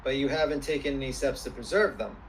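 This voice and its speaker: A man questioning a witness as an attorney, formal and persistent, repeating questions deliberately. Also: medium pitch, slight background noise, poor audio. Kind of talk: formal deposition cross-questioning.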